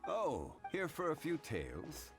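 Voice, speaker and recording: An actor playing a refined, modest gentleman who speaks formally and courteously in a video game. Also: on the high side, huge pitch swings, a little slow, gravelly, over loud music, heavily acted. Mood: courteous, amused. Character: refined, modest, composed gentleman consultant, quietly amused.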